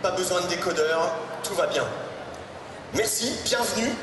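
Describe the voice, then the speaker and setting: A man's voice: high voice, moderate pace. A man presenting live on stage as an event host. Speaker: event host welcoming a guest. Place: large indoor convention stage. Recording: distant echoey hall PA, loud crowd noise.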